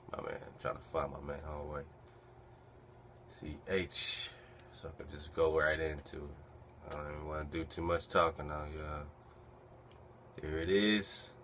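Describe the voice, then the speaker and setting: A man hosting a casual live stream, hurried and slangy, repeating himself as he rushes. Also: rumbling low, sharp tone, a little hiss or hum. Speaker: host.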